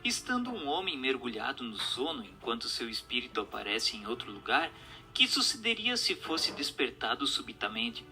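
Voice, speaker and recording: A man with a Brazilian accent giving a formal, measured scripted narration of a spiritual text. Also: mid-range voice, sing-song. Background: music.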